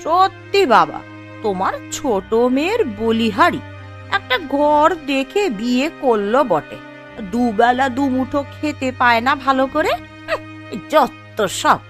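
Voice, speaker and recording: A high-pitched young wife of means voiced by a woman in a cartoon tale. She gushes and boasts to her father in drawn-out phrases, smug and scornful, with faint music behind her. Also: lively intonation, a bit bright. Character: well-off married elder daughter, smug, scornful of her sister. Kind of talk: Bengali cartoon voice acting.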